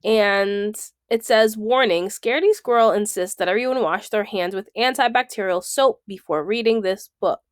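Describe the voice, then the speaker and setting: A woman's voice: medium pitch, moderate pace, hardly any pauses, dry recording, studio quality. A woman reading a children's book aloud, enunciating item by item and adding explanatory asides.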